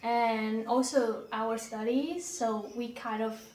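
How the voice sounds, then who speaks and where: A young Vietnamese woman talks slowly and hesitantly, her voice sitting a little high. She sounds set back from the mic in an echoey room with faint ambience. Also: steady tone, a little mellow, far from the mic, slight background noise. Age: young adult. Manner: hesitant with many ums. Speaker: interviewee. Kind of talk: casual personal interview.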